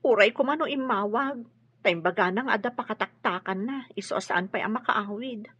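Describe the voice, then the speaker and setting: An actress playing an anxious, plaintive wife in a radio drama. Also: female voice, medium pitch, normal speed, a bit bright, breathy edge, dry recording. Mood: worried. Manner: worried, plaintive. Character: anxious, fretful wife waiting for straying husband. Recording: muffled lo-fi radio drama with music.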